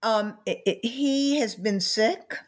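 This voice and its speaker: An older woman with a Southern US accent, a founder interviewed about her book, speaking in a measured way with many pauses and fillers. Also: a little high. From North Carolina. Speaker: author and company founder. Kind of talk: interview conversation.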